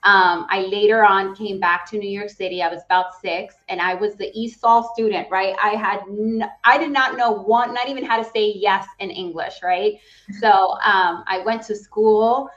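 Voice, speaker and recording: An earnest woman in her thirties, raised in the Dominican Republic and a native Spanish speaker, telling her story in a quick, animated way. Her voice sits mid-range for a woman. The sound is a bit dull and lo-fi. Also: mid-range voice. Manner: earnest, fast and animated, with frequent "right?" and "um". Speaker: guest, real estate executive. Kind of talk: casual interview conversation.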